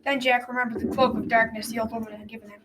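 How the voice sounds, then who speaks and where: A noisy recording, a little distant from the mic, carries a young teenage girl's narration. She reads a scripted folktale in a fairly high voice. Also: flat delivery, racing. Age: young teen. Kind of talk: scripted folktale narration for school radio drama.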